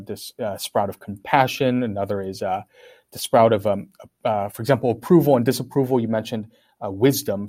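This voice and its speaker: A man's voice: medium pitch, a little mellow. Hesitant, thoughtful man, native Korean speaker. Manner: thoughtful, many fillers and restarts. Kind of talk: casual academic discussion.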